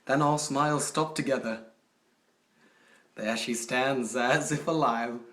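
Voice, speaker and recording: A man playing a haughty, possessive, coldly jealous duke in a theatrical, deliberate dramatic poetry recitation. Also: medium pitch. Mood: menacing, forceful. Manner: theatrical, deliberate, swinging from hushed to forceful. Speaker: poetry reader. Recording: roomy echo, distant microphone.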